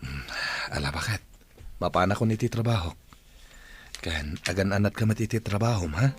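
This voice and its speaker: An actor playing an affectionate, devoted husband in an Ilocano radio drama, tender, with an Ilocano accent. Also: male voice, deep voice, moderate pace, a little hiss or hum, close to an everyday voice. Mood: businesslike.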